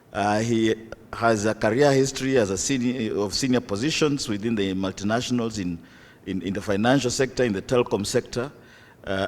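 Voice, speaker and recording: Low-voiced Kenyan man chairing a conference. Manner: hesitant, many fillers, jokey asides. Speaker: session chair. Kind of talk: conference moderation. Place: conference hall. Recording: long hall reverb.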